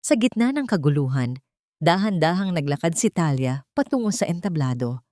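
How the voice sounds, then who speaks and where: Low-pitched woman narrating a dramatic audiobook. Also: big pitch swings, moderate pace, dark tone, no room sound, clean studio sound. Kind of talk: scripted dramatic story narration.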